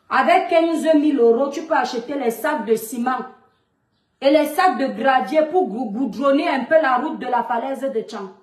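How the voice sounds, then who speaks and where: A woman's voice: high voice, roomy sound. A woman in her thirties with a Cameroonian French accent, lecturing in a scolding monologue full of rhetorical questions. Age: 30s. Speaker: mother addressing a public figure online. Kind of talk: monologue video rant.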